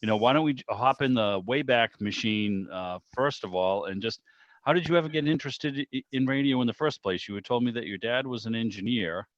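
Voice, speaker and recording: A man's voice: medium pitch, a little fast. An American man hosting an online club meeting in a chatty, informal, meandering way, with casual welcomes and questions. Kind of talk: casual spoken welcome and interview. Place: indoors on a video call. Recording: muffled, roomy video-call audio.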